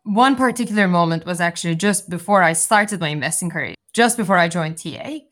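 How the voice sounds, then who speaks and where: Articulate woman, slightly low voice. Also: lively intonation, fairly quick, a bit bright. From Turkey. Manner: articulate, structured explanations with frequent "so" and "you know". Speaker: venture capital partner, guest. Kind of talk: conversational interview answers.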